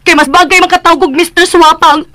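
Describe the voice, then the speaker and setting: An actress with a Visayan Filipino accent playing an indignant, defiant young rich daughter in a radio drama. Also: female voice, extremely high pitch, fairly even intonation, brisk, bright, forceful tone, no room sound, studio quality. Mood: angry, defiant. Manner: indignant, melodramatic. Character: defiant, wealthy young daughter resisting arranged marriage.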